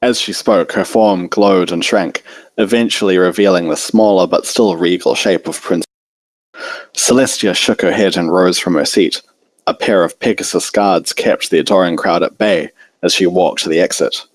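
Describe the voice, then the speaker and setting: Low-voiced man narrating a story. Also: moderate pace, dry recording, poor audio. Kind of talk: narrated fan fiction reading.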